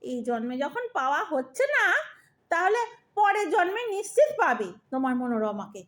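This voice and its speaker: A woman's voice: high-pitched, sing-song, moderate pace. A woman playing an exasperated old wife who scolds her husband with sarcasm and mock sweetness in a comic audio drama. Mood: sarcastic.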